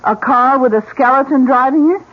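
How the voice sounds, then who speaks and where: A woman's voice: sits high, a little fast, lightly acted. Worried innkeeper wife female actor, insistent questioning manner, radio mystery, tinny with faint noise. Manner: earnest, pressing. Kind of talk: radio drama acting.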